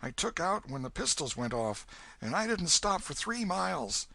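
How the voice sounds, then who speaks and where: Scared young boy, high and pleading, put on by a male reader, lively and bright. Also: moderate pace, gravelly, dry recording, full character voice. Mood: shaken.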